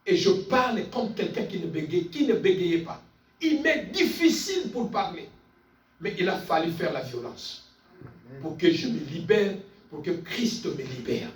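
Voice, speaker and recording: High-pitched fervent male preacher, echoey. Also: lively intonation, a little slow. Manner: emphatic, insistent, repeating phrases; calls on listeners for amens. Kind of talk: fervent sermon. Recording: echoey room, distant mic, faint music.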